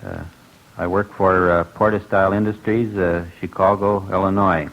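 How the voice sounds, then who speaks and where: A man's voice: sits low, steady tone. An American man giving a business witness statement, very hesitant, with frequent uhs. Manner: very halting, hesitant, many uhs. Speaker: hat company representative. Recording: muffled old radio recording.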